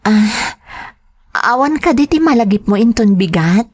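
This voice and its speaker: An actress with a Filipino Ilocano accent playing a dutiful, soft-spoken young wife in an audio drama. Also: female voice, on the high side, expressive, a little slow. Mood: hopeful. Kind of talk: radio-style drama acting.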